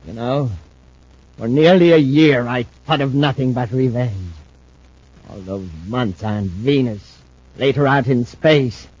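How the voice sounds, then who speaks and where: An actor playing a weakened, obsessed spacer in a 1950s radio drama, brooding and intense. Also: male voice, medium pitch, darkish tone, slightly rough. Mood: weary, bitter. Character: thin, weakened, obsessed male spacer seeking his old partner. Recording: muffled old-time radio recording.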